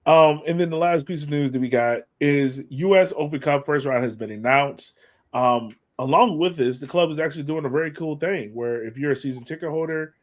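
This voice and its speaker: Male American podcast co-host, casual sports talk, mid-range voice. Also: medium pitch.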